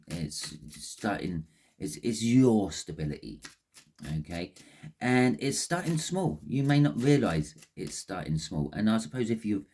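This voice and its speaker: A man's voice: low-pitched, mellow. A man with a phlegmy throat giving a casual, rambling tarot reading, often repeating words and restarting sentences. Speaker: tarot reader.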